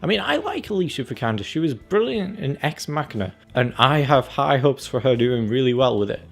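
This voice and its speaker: A man's voice: medium pitch, very animated, a little fast, gravelly. A man giving a casual, chatty trailer review as a channel host, acting out his reactions as he goes. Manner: chatty, acts out reactions and quotes.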